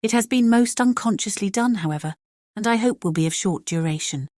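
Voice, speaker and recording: A woman playing a proud young gentlewoman in a dramatized audiobook, formal, measured and cuttingly indignant. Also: mid-range voice, expressive, no room sound, studio quality, lightly acted. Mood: cold, controlled.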